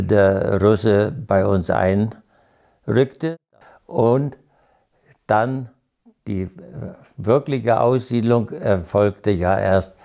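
An older man from Farther Pomerania, an eyewitness in a documentary interview, recalling his past slowly and haltingly with hesitations and self-corrections, recorded in a living room. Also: lowish voice, creaky, mellow. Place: living room with bookshelves, indoors.

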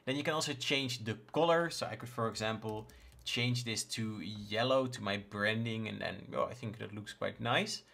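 A man in his thirties from the Netherlands, a native Dutch speaker with a Dutch accent, casually presenting a software tutorial. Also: lowish voice, moderate pace, creaky. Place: indoors in a home room, screen recording with webcam.

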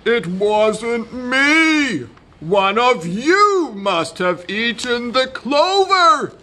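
Greedy, whiny cartoonish donkey played by a woman, very slow and drawn-out, storybook style. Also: mid-range voice, very animated, pitched above the performer's own voice. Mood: defensive. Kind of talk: children's storybook character voice.

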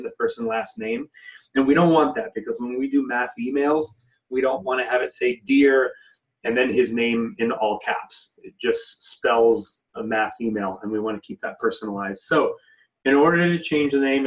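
A man giving an informal, step-by-step software tutorial as an instructor. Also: mid-range voice. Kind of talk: informal step-by-step screen-share walkthrough. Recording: voice somewhat distant from the mic.

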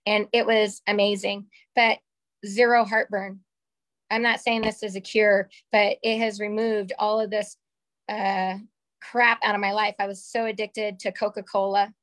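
A woman in her forties with a slightly raspy voice, sharing a candid personal story on a video call from a small room at home. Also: mid-range voice, flat delivery, a little slow, a bit bright, no room sound. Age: 40s. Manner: candid, chatty, gestures with her hands. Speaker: call participant. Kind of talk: casual personal testimonial. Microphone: webcam on a video call.

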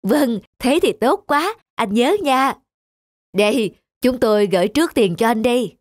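A woman's voice: on the high side, expressive, measured pace, dry recording, studio quality. A woman voicing a chatty village farm woman who frets, in a cartoon folk tale. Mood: relieved. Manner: plaintive, chatty. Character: chatty village women farmers fretting over cattle. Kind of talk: cartoon dubbing. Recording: music under the dialogue.

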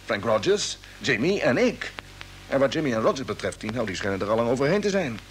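Male actor for resolute expedition commander in a Dutch radio serial; middle pitch, emphatic, slightly noisy old recording. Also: big pitch swings, fairly quick, natural voice. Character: determined male spaceship expedition commander, earnest, defiant.

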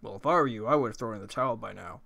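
Man voicing a casual, deliberate skeleton game character. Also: on the low side, creaky, sharp tone. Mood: sincere, encouraging. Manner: casual, slangy, slow deliberate phrasing. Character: laid-back skeleton joker turned solemn judge. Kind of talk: character voice acting of video game dialogue.

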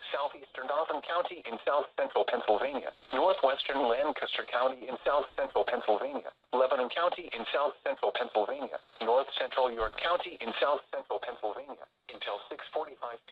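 A man's voice: on the high side, sing-song, brisk, vocal fry, few pauses. Tinny radio voice, man reading alerts. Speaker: weather radio alert announcer. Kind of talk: scripted warning bulletin read-out. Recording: tinny, noisy handheld weather radio speaker.

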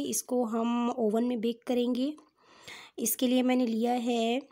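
A woman's voice: fairly high pitch, warm tone. A woman with a Pakistani Urdu accent hosting a cooking channel, explaining each step of a recipe patiently and with frequent fillers.

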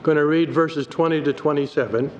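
A man's voice: a little high, fairly even intonation. Older man preaching in a reverberant church. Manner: measured, reads scripture aloud from the page. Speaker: pastor. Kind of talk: sermon read from notes at a pulpit. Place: church sanctuary. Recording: dull hall recording with faint noise.

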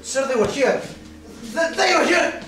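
A young man playing an excitable, defiant underling in a stage play, agitated and protesting. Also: extremely high pitch, measured pace, heavily acted. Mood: frantic, pleading. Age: young adult. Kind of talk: amateur stage acting. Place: small theatre stage. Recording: very echoey theatre, distant camera, noisy.

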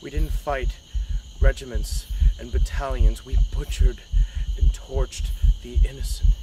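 An actor playing a haunted, fatalistic young Civil War veteran in a western horror film, with an intense, ominous delivery. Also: male voice, medium pitch, steady tone, unhurried, close to an everyday voice. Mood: grim, haunted. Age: young adult. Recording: noisy field recording with insects.

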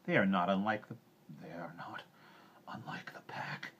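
A man voicing a calm, composed old lone wolf in a storybook, speaking in a hushed, breathy whisper. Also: low voice, highs cut off. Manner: slow, composed.

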